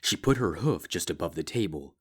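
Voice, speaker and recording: A man narrating a dark fan fiction story in a steady, measured way. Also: deep voice. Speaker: fanfic reader. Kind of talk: scripted narration.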